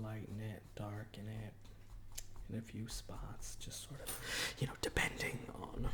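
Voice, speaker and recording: Whispering man, hesitant and chatty. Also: fairly low pitch. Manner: chatty, hesitant, pausing between short phrases. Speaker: ASMR roleplay performer playing a portrait artist. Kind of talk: whispered ASMR roleplay.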